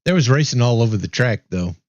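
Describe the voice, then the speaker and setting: A man hosting a race broadcast in a casual chat, easygoing and upbeat, his voice going hoarse. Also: mid-range voice, expressive, fast talker, darkish tone, no echo. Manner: easygoing, upbeat, rambling with fillers. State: losing his voice, clearing throat. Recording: dry, dull lo-fi mic.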